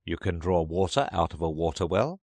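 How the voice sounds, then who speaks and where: A man's voice: sits low, expressive, moderate pace, darkish tone, no echo. A man voicing a rude, smug hatter at a mad tea party. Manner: rude, triumphant, drawling.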